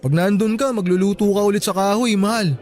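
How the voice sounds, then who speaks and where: A man's voice: high voice, a little fast, darkish tone, lightly acted. A man with a Filipino accent playing an affectionate, playful boyfriend in a radio drama. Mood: teasing, sweet. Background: background music.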